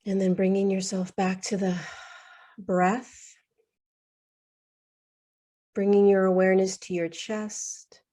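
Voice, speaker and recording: A woman leading a guided meditation in a soothing, unhurried way, leaving long pauses between short phrases. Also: medium pitch, flat delivery, warm tone.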